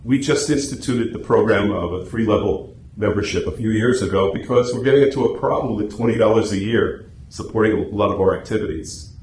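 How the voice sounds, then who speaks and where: A man's voice: on the low side, a little fast, darkish tone. A man giving a chatty, anecdotal, informal presentation to a club meeting. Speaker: club presenter and radio script writer. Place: meeting room, speaking to a small audience. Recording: echoey room with faint noise.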